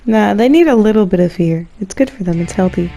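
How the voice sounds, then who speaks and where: A woman's voice: medium pitch, expressive, fairly quick, rough recording. A woman doing casual, joking gameplay commentary in a wry, playfully dramatic way. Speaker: game streamer. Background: soft game music.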